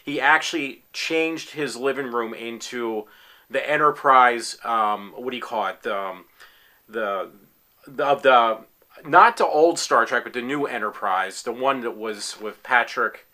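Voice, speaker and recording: Man, late forties, bright-toned, rambling fan talking casually from his room at home. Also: mid-range voice, unhurried. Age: 40s. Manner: rambling, many fillers and restarts; enthusiastic fan. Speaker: applicant in a tryout video. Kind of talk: casual self-recorded talk.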